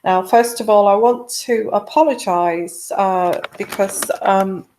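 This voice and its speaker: Slow, hesitant woman with a sore throat. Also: mid-range voice, darkish tone, rough recording. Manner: hesitant, many ums and restarts. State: sore throat from a virus, quiet voice. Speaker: host of a live tarot reading show. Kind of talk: casual live-stream chat.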